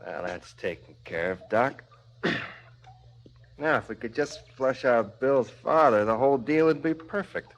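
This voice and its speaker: An actor playing a greedy, domineering crime boss in a 1970s radio drama, blunt and insinuating. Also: male voice, mid-range voice, expressive, a little slow, highs cut off, a little hiss or hum. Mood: smug, scheming. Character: bullying, greedy, corrupt male crime boss.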